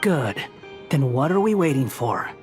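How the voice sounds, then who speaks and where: Low-voiced curt young woman fighter. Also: very animated, unhurried, exaggerated voice. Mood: grudging. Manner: curt, dry. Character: brusque, quick-footed young woman fighter, cool and impatient. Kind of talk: anime game voice acting. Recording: loud game music behind.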